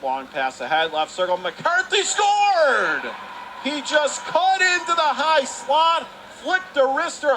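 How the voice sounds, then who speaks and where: Male sports announcer, high-pitched, clipped and excitable hockey calls, light arena noise in the background. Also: rough recording. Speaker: radio play-by-play announcer. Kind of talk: live ice hockey play-by-play commentary. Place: commentary position at an indoor ice rink.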